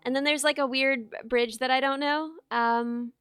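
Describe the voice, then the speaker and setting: A young woman streamer chatting casually, hoarse and losing her voice, rambling and giggling at herself. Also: high-pitched, fairly even intonation, moderate pace, fairly bright tone, dry recording. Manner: rambling, giggly, self-mocking. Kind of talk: casual chat with live chat. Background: music playing.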